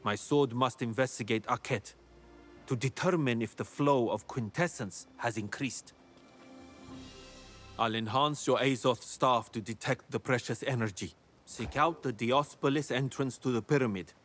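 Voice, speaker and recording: An actor playing a grave, commanding ancient builder-sage with measured, formal speech in a fantasy video game. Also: male voice, mid-range voice, fairly bright tone, faint music behind, lightly acted. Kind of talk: scripted video game quest dialogue.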